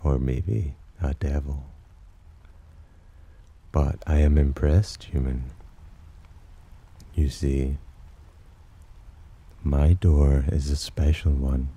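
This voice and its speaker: A man playing a teasing, possessive demon in an ASMR roleplay, with a breathy, husky voice and a smug, theatrical drawl. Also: a bass voice, mellow, full character voice. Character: teasing, possessive male demon sheltering a human. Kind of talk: ASMR roleplay, slow intimate character acting.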